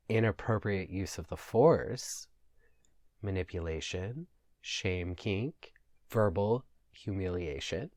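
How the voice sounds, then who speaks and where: A man with a fairly low voice presents and narrates as a podcast host and storyteller. He takes his time, speaking at a slightly slow pace. The sound is dry and close, with very little room in it. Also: dry recording. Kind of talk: scripted podcast narration, reading fan fiction aloud.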